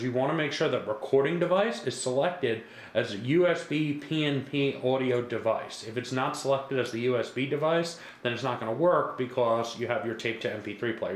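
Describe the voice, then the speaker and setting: A man demonstrates software step by step in a casual, reassuring way, repeating himself as he instructs. His mid-pitched voice sits in an echoey room with faint background noise. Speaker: product demonstrator.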